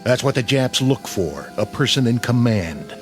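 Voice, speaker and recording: An actor playing a battle-hardened, skeptical army sergeant in a radio drama, plain-spoken, folksy and wry. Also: male voice, mid-range voice, very animated, moderate pace, faint music behind, close to an everyday voice. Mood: blunt, dry.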